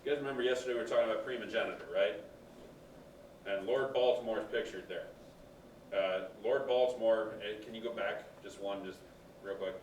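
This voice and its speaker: A man teaches a class in a booming, conversational way and keeps quizzing the students. He is recorded from across an echoey classroom, with faint room noise behind him. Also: a little fast. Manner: loud, booming, conversational explaining. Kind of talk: classroom lecture with questions. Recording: echoey classroom, far from the mic.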